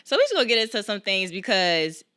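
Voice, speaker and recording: A woman's voice: mid-range voice, quick pace, clean tone, no echo, studio quality. A woman in her twenties hosting a podcast, chatting casually with slang and frequent laughter. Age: 20s. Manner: animated, laughs a lot, slangy. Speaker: podcast host. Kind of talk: casual chat.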